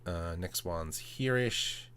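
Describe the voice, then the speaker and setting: Casting: male livestream host, lowish voice, informal, talks himself through computer work and chats with viewers. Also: lively intonation, takes it slowly, a bit bright, clear, resonant tone. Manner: informal, muttering to himself, playful self-talk. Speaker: livestream host demonstrating 3D modelling. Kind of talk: casual livestream chat with thinking-aloud tutorial commentary.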